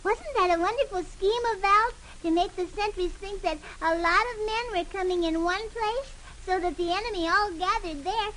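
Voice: extremely high pitch, moderate pace, hardly any pauses, pushed and bright, poor audio. A performer voicing an eager, admiring little girl on an old-time radio children's show, earnest and curious. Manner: earnest, wide-eyed, eager. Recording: old radio broadcast with faint noise.

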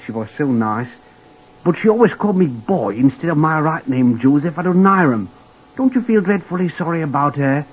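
A man's voice: on the high side, lively intonation, moderate pace, slightly rough. A man playing a simple, overly polite young hotel lift boy from Blackpool who keeps calling people sir, in 1940s radio acting style. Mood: eager. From Blackpool, Lancashire. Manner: overly polite, says sir constantly, apologetic. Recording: lo-fi vintage radio recording.

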